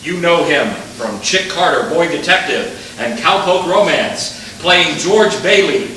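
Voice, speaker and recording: A man's voice: fairly high pitch, expressive, measured pace, sharp tone. A man playing a genial, grandiose 1940s radio announcer addressing a studio audience in a live stage play. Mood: showy, proud. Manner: grandiose, showman-like. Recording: echoey theatre from far off, crowd noise. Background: live audience noise.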